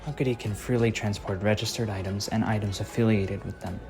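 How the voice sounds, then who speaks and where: A man doing a quiet, steady young swordsman. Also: fairly low pitch, a little fast, over music, lightly acted. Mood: calm. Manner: quiet, steady, matter-of-fact. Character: calm, serious young swordsman. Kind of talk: anime fan dub acting.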